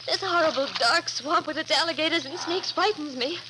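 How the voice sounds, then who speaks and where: An actress playing an anxious, fearful wife, fretful and pleading, in a melodramatic 1940s radio drama. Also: female voice, high-pitched, brisk, lightly acted. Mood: nervous, pleading. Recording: noisy old 1940s radio recording.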